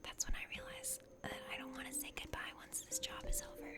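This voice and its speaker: A woman whispers her way through a game story as an ASMR host. She is gentle and playful, and music sits prominently behind her voice. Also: brisk. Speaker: host of an ASMR let's play.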